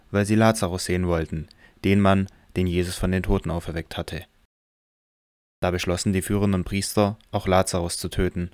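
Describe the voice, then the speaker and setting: Deep-voiced male Bible narrator. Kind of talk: scripted Bible audio drama narration.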